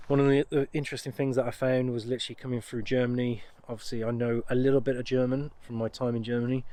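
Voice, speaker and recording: Casual English male vlogger, mid-pitched, chatty and rambling, cheerful. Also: little pitch movement, fast, warm tone. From United Kingdom. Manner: rambling, many ums and restarts, upbeat. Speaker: van life vlogger. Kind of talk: casual vlog talk to camera.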